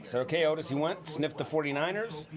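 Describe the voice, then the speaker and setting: A man's voice: a little high, fast talker, a crowd in the background. Jokey American man, casual co-host banter. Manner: jokey, chuckles often. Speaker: show co-host. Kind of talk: casual banter on a talk show.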